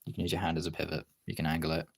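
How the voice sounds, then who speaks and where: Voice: a bass voice, monotone, rapid-fire, gravelly, breathy edge. A streamer chatting casually while demonstrating drawing, talking in an informal, sweary way. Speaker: art streamer.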